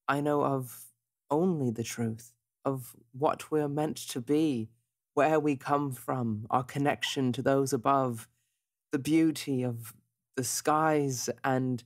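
A man's voice: medium pitch, takes it slowly, natural voice. A man playing a centuries-old devout seer in a tabletop game, earnest, formal and theatrical. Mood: confused.

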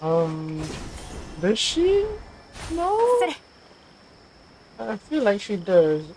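A woman giving casual, offhand reactions while playing a video game, in short unhurried comments. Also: medium pitch, very animated, a little mellow, a little hiss or hum. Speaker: gaming streamer. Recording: game music behind voice. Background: game music.

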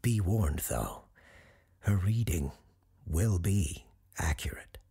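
An actor playing an eerie, learned radio host who tells supernatural tales in a measured, ominous way with deliberate pauses, his voice husky and gravelly. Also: deep voice, lively intonation, warm tone, heavily acted. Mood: measured, mysterious. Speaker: paranormal advice show host. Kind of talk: scripted dramatic storytelling.

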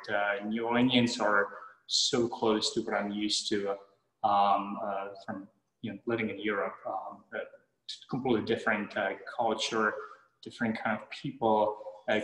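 A man's voice: on the low side, fairly bright tone. A man in his thirties from the Czech Republic, a homeowner chatting on a live house tour, with many fillers and restarts. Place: large bare room with hard floors, indoors. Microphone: camera a few steps away. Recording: echoey room with long reverb.

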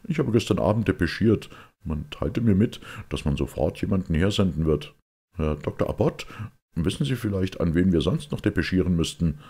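A man voicing a formal, businesslike young gentleman, speaking briskly in an audiobook detective story. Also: low-pitched, animated, husky, voice pushed up, exaggerated voice.

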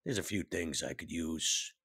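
A man playing a gruff, folksy vampire henchman with a gravelly, husky voice, bargaining casually in a roleplay game. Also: a bass voice, measured pace, fairly bright tone, full character voice. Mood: wheedling, casual. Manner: colloquial, hesitant bargaining. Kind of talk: tabletop roleplay acting.

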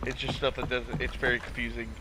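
A man's voice: mid-range voice, little pitch movement, fast, a loud crowd. A man streaming a game and chatting casually with friends, easygoing and mildly scolding anyone who swears. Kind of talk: casual gaming chat. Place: home gaming setup, indoors. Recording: noisy game stream audio.

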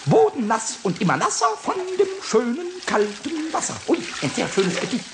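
A man playing a small, cheeky, childlike kobold in a radio play, with a squeaky cackling voice, stammered repetitions. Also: wildly swooping pitch, moderate pace, heavily acted. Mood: busy, gleeful. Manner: stammering repetitions, childish exclamations, sing-song rhymes. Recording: noisy old radio recording.

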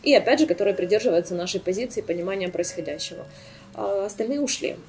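A woman in her thirties from Kyiv, a salon owner, speaking as a guest in a casual interview. She tells her story with animated rises and plenty of filler sounds, recorded on a desk mic in a small sofa studio. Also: medium pitch, fast. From Kyiv, Ukraine. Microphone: desk microphone.

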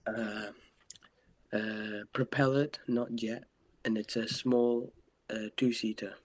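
Husky-voiced teenage boy. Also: mid-range voice, fairly even intonation, takes it slowly. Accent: Indian English. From India. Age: teens. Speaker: chat stranger. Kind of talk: casual chat.

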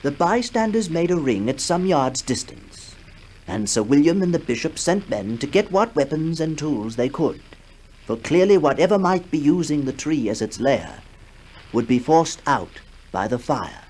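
A man's voice: on the high side, very animated, normal speed, darkish tone, rough recording. A man narrating a ghost story in a formal, theatrical manner. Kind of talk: dramatic reading of a ghost story.

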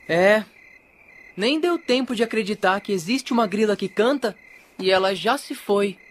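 A woman's voice: medium pitch, lively intonation, normal speed, close to an everyday voice. A woman voicing a kind young male cricket hero in a cartoon dub, earnest and lovesick, with a Brazilian accent. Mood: lovesick, sad. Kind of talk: animated cartoon dub acting.

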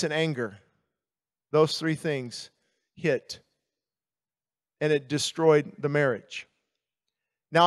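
Male pastor, 50s, American accent, high-pitched voice, candid storytelling sermon style, handheld mic on an outdoor stage. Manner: conversational, candid storytelling with pauses and repeated words. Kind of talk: sermon with personal testimony. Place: outdoor stage at a baseball stadium in the evening. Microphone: handheld microphone.

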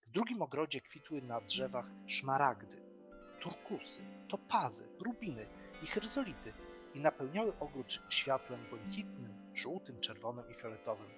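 A man narrating a fairy tale from a script in an expressive storytelling style. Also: medium pitch, normal speed, music in the background.

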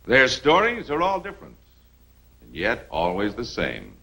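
An American man delivering emphatic, rhetorical narration for a 1950s military film, with dramatic pauses. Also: medium pitch, wildly swooping pitch. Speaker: narrator and presenter.

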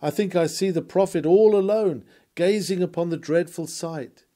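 Man, higher-pitched voice, mellow tone, oratorical sermon reading, earnest, solemn, dramatic pauses. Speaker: sermon reader. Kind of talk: read-aloud sermon, expressive and oratorical.

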